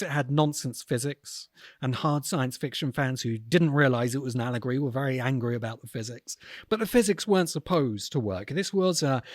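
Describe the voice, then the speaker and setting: A man hosting a podcast, speaking in reflective commentary with an earnest, emphatic delivery and deliberate dramatic pauses. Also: on the high side, no echo, clean studio sound. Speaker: podcast host and video essayist.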